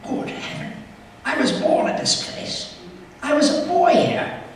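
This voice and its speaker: An actor playing an elderly, fearful and wistful miser in a theatrical radio play, with a halting, emotional delivery. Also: male voice, natural voice. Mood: wistful excitement. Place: theatre stage. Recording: echoey theatre hall, distant mic, faint noise.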